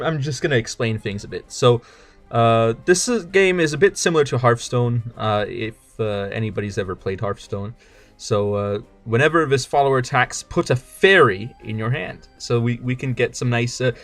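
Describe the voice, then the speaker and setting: A man's voice: mid-range voice, expressive, moderate pace, a bit bright, soft background music. A man streaming a card game with casual, rambling commentary, joking around and stumbling over his words as he restarts sentences. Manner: rambling, jokey, stammers and restarts.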